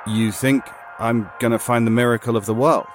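An actor playing a stubborn, sardonic player in a surreal game, speaking conversationally in a naturalistic audio-drama style. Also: male voice, mid-range voice, fairly even intonation, moderate pace, soft background music, lightly acted. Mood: wry, exasperated.

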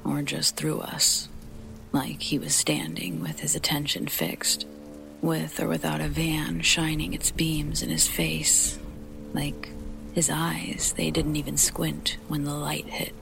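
A woman reading a first-person horror story as scripted narration, in a breathy, near-whispered, creaky voice, with music and rain behind her. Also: low-pitched, poor audio.

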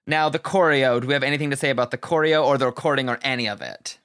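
A young man hosting a podcast, chatting in an animated, opinionated way with frequent restarts. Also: medium pitch, very fast, clear, resonant tone, no room sound, studio quality. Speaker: podcast host. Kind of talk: casual chat.

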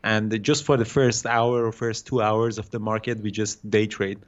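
A man in his thirties from Iran with an Iranian accent, answering interview questions haltingly with many fillers and restarts. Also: on the low side, flat delivery, lo-fi sound. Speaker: guest day trader.

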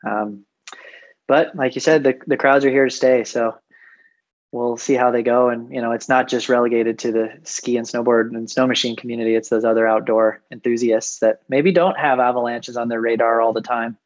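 A man's voice: mid-range voice, steady tone, moderate pace, dry recording, highs cut off. A man hosting an interview podcast, introducing and questioning his guest. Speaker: podcast host.

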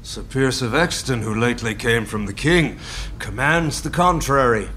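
An actor playing a servile, fearful prison keeper, deferential and hesitant, in a Shakespearean radio play. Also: male voice, mid-range voice, normal speed, bright, forceful tone, a little hiss or hum, natural voice. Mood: uneasy.

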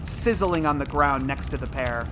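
A man giving measured, suspenseful scripted narration for an audio drama. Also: medium pitch, very noisy. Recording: music and effects under narration.

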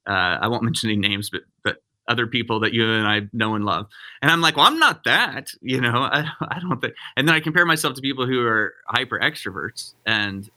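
Bright-voiced rambling male pastor. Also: medium pitch, animated, fairly quick. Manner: rambling, self-interrupting, frequent restarts. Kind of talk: casual podcast conversation.

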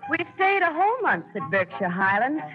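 A fond, expressive American daughter narrating a radio play. Her pitch moves freely over music, in a muffled old broadcast. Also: moderate pace. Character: grown daughter fondly recalling her show-business family. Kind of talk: scripted first-person narration, 1940s radio acting.

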